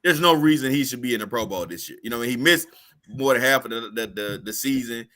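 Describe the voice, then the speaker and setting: Slightly hoarse man, casual sports talk. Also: mid-range voice, quick pace, bright, forceful tone. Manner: loose, repeats words, lots of "you know what I mean". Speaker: sports podcast co-host.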